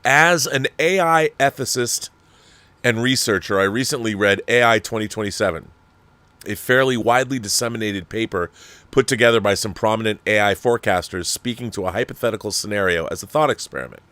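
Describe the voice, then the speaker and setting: Low-pitched man, emphatic talk host. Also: a little fast, pushed and bright, dry recording. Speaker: online show host. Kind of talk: opinionated talk, reading viewer mail.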